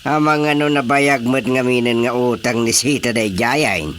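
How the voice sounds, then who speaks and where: A man acting in an Ilocano radio comedy-drama as an expressive, opinionated villager reacting to gossip, with an Ilocano Filipino accent. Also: mid-range voice, moderate pace, a little hiss or hum, lightly acted. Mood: opinionated, wry.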